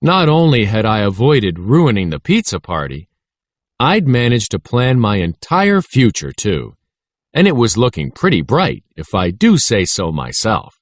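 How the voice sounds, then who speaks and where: A man reading a children's story aloud in an expressive, teacherly way. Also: fairly low pitch, measured pace, dry recording, clean studio sound. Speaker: audiobook narrator for children. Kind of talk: scripted read-aloud storytelling.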